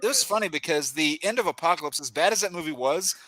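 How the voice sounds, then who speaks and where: Man in his 20s, bright voice, very lively pitch, chatty, stammers. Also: quick pace, poor audio. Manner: chatty, stammers when excited. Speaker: livestream panelist. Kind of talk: casual group chat.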